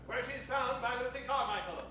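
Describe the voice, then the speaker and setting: A man's voice: very high voice, fairly quick, noisy background. A man narrating an improvised comedy radio play as host, in a dramatic old-time radio style. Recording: very echoey stage, distant mic, faint music.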